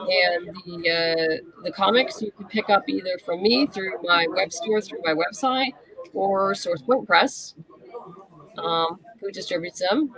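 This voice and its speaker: A woman's voice: on the low side, poor audio. A woman speaking casually as the guest in an interview.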